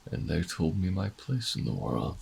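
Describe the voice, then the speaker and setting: A man playing a bitter, defiant older human revolutionary in a sci-fi audio drama, speaking in a gravelly, husky voice with theatrical, brooding delivery. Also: deep voice, very animated, a little mellow, slight background noise, heavily acted. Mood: bitter, anguished. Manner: dramatic, brooding, halting monologue. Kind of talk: audio roleplay drama acting.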